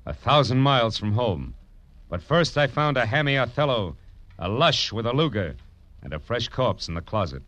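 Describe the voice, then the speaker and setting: An actor playing a world-weary private detective, narrating in terse, brooding phrases in 1940s radio noir style. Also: male voice, medium pitch, sing-song, normal speed, fairly bright tone, dry recording. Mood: wry, punchy. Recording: dull 1940s radio recording. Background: prominent dramatic music.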